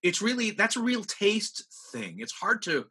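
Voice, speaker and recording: A man hosting a casual interview, talking in an animated, rambling way. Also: high voice, unhurried, husky. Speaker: podcast host, interviewer.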